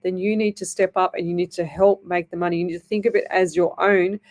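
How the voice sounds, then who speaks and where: Woman, psychic radio host, mellow tone, loose and rambling delivery. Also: lowish voice, steady tone, fast. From Australia. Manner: rambling, self-interrupting, many fillers. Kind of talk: live psychic reading, casual chat.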